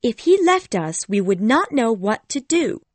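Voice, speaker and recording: A woman's voice: high voice, lively intonation, unhurried, no room sound, pitched above the performer's own voice. Woman voicing an anxious polite young girl. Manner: anxious, earnest.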